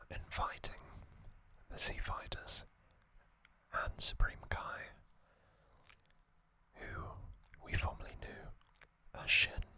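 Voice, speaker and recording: An ASMR host whispering a relaxed trivia recap, soft and unhurried, with hesitant fillers and small self-corrections. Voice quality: fully whispered, breathy.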